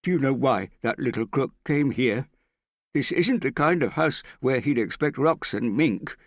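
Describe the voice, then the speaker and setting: A male narrator plays a frightened, suspicious man who drinks and hides from the world. His voice rides high and urgent, pleading and easily roused to anger. It is audiobook character work, closely and dryly recorded. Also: animated, unhurried, no echo, studio quality. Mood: anxious pleading. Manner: agitated, suspicious, pleading, prone to shouting. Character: paranoid, shaky, hard-drinking frightened man in hiding.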